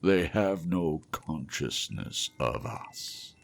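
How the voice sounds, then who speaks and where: A man voicing a calm, commanding ghost of the past, speaking slowly and sternly, raising his voice above his narration. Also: rumbling low, gravelly, exaggerated voice. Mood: solemn. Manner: slow, stern, ringing delivery. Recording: faint music behind.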